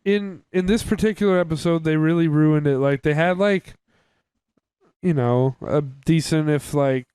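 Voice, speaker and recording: A man's voice: on the high side, normal speed, a little mellow, clear, resonant tone, no room sound. A young man co-hosting a podcast, giving a casual, candid review with informal, sweary phrasing. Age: young adult. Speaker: podcast co-host.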